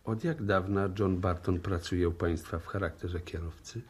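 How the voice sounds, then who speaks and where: An actor playing a calm, courteous, probing police inspector in a 1960s-style Polish radio drama, with measured questioning. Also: male voice, sits low, husky. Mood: soothing. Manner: polite, measured, hesitant questioning.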